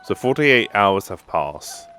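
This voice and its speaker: A man's voice: lowish voice, very animated, slow pace, sharp tone. A man giving casual, off-the-cuff commentary as he plays a video game. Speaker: gaming YouTuber. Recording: voice-over with faint game music.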